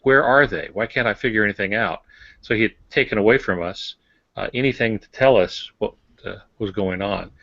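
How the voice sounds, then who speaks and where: A man's voice: on the low side, brisk, vocal fry, sharp tone, no echo, lo-fi sound. An older American man co-hosting a writing podcast, casually explaining with examples and asides. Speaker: podcast co-host on fiction writing. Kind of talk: casual instructive discussion.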